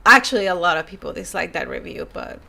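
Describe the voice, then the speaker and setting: A woman's voice: lowish voice, sing-song, fast, vocal fry, sharp tone. A young woman from Mexico with a Mexican Spanish accent, chatting casually on a live stream as she reads viewer comments aloud, sighing and laughing between thoughts. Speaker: YouTuber reading viewer comments.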